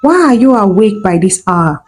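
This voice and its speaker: A woman's voice: medium pitch, sing-song, moderate pace, a little mellow, breathy edge, soft background music. A woman voicing an earnest young man, the brother-in-law in a folktale. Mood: curious.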